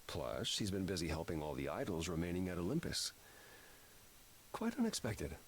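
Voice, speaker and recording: An actor playing a weary, apologetic god in a video game, with a subdued, hesitant and polite delivery. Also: male voice, low voice, sing-song, normal speed, a little hiss or hum, low-quality recording. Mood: mild, wry. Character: weary, melancholy, apologetic god, a brooding brother.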